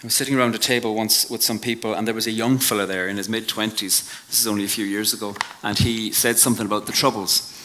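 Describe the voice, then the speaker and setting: A low-voiced Irish man speaking at a live venue, reflective and measured as he moves between talk and poetry. His tone is bright and tense, and his phrases sink into quiet endings. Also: steady tone, a little hiss or hum, rough recording. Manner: measured and reflective, drops to a hush at line ends. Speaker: poet giving a talk. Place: live venue before an audience.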